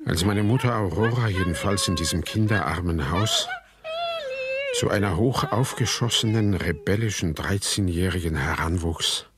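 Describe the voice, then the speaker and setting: A man's voice: low-pitched, big pitch swings, normal speed, a loud crowd. An actor playing a reflective, disgraced heir who narrates his family saga in a radio drama. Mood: reflective, confessional.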